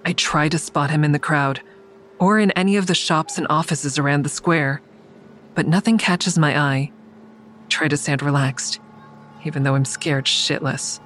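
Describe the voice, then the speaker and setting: A woman's voice: sits low, normal speed, fairly bright tone, slight background noise. An actress playing a weary, defiant woman who narrates her own thriller in a wry, blunt way. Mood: tense, baiting. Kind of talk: first-person audio drama narration and dialogue.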